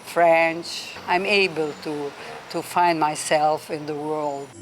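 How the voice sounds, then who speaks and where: A woman, telling her life story in an interview, slowly and carefully, with pauses and restarts. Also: sits low, steady tone, over loud music. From Austria. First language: Hungarian. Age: 70s. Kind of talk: casual interview. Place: hostel.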